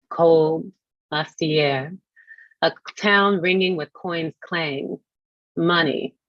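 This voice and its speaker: A woman recites poetry in a low voice, taking her time over the phrases. The recording is close, dry and slightly muffled. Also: no room sound. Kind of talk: dramatic poetry reading. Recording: dull lo-fi dry audio.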